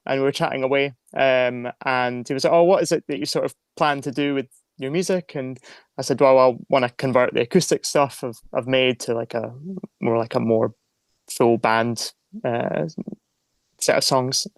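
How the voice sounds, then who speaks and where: A man's voice: mid-range voice, steady tone, normal speed, dry recording. A man with a Scottish accent, a musician telling stories casually as an interview guest. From Scotland. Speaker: band singer being interviewed. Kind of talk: casual storytelling.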